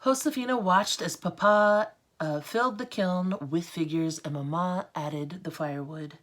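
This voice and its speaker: A woman's voice: fairly low pitch, a little slow. A woman with an American accent reading a storybook aloud as an art teacher, with informal, encouraging asides to children.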